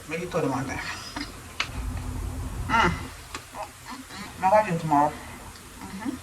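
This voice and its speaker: A woman's voice: on the low side, noisy background. A woman, chatting casually to her viewers at home. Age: 30s. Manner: chatty and animated, laughs often, talks to viewers directly. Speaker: vlogger. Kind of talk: casual vlog chat to camera. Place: at home in an apartment.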